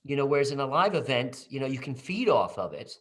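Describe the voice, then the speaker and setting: A man's voice: mid-range voice, big pitch swings, quick pace, background noise. A man who directed a play, speaking in a casual video-call talkback, rambling with many restarts and fillers.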